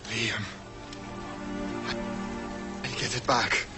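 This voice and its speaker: A man's voice: lowish voice, steady tone, very slow, music in the background. An actor playing a resolute, commanding male captain in a video game cutscene, giving firm, clipped orders. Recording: game audio with loud score.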